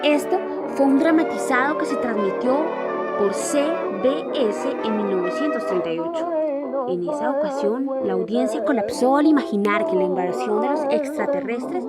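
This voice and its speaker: Female narrator, higher register, formal read of documentary script, prominent music bed. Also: lively intonation, moderate pace. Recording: loud music behind the voice.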